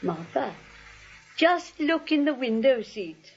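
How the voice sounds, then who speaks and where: High, fluttery old aunt. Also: female voice, big pitch swings, slow pace, soft background music, full character voice. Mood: genteel, cheerful. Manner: genteel, fussy, exclaiming. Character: sweet, fluttery elderly spinster aunt, cheerfully dotty. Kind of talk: 1940s radio comedy acting. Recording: dull, lo-fi vintage radio recording.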